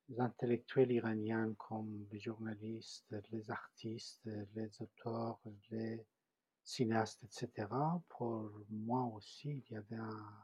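A man from Iran with a Persian accent, giving a personal testimony in an interview and often pausing to search for French words. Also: fairly low pitch, warm tone, breathy edge, no echo.

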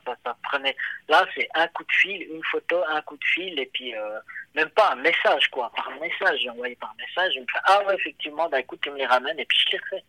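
Swiss French man, very bright voice, dry close sound, hesitant casual interviewee. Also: fairly high pitch, no room sound, low-quality recording. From Switzerland. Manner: hesitant, many fillers and restarts. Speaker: watchmaker and guest.

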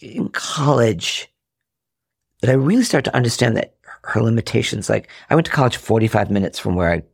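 Husky gravelly man telling family stories. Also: mid-range voice, a little fast, dry recording, studio quality. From Rhode Island. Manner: animated, acts out quoted family dialogue. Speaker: podcast guest. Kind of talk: candid storytelling in conversation.

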